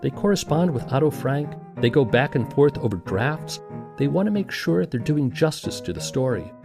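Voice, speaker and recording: Wry, animated male narrator. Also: mid-range voice, normal speed, vocal fry, over music. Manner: conversational, wry. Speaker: podcast host and narrator. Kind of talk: scripted documentary narration.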